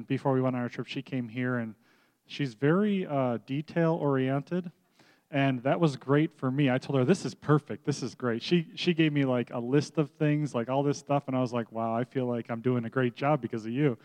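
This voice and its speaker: A man making church announcements in a relaxed, upbeat way, dropping ums as he goes. His mid-range voice is smooth and clear. A long reverb hangs in the hall. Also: medium pitch. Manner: casual, upbeat, with filler ums. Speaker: pastor.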